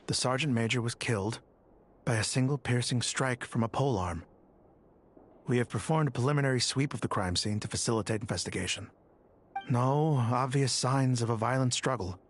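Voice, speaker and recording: Actor as male soldier, medium pitch, formal, earnest, orderly briefing delivery, video game, faint ambience. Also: slight background noise, lightly acted. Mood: businesslike. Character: polite, dutiful male soldier reporting on a case.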